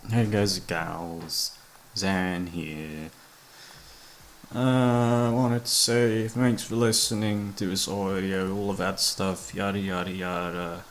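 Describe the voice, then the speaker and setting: A man's voice: sits low, steady tone, slow, a little hiss or hum. A young man chatting casually to his listeners, rambling in a hesitant, sweary, self-deprecating way. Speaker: voice actor, channel creator. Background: soft background music.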